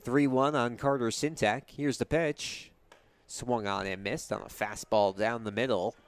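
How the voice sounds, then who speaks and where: A man's voice: medium pitch, fairly even intonation, measured pace, no room sound. Young man calling baseball, dry close sound. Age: young adult. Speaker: baseball radio play-by-play announcer. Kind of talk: live play-by-play commentary.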